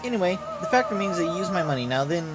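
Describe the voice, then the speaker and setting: A greedy, scheming goddess from a game as a man reads the part, flippant and pushy. The voice sits fairly high for a man, over loud music. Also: sing-song, racing. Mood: breezy, pushy. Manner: breezy, pushy, self-satisfied.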